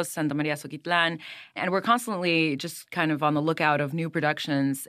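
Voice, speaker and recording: A woman who runs a mezcal brand, answering interview questions in a measured, explanatory way with small restarts. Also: low-pitched, fairly bright tone, no echo, studio quality.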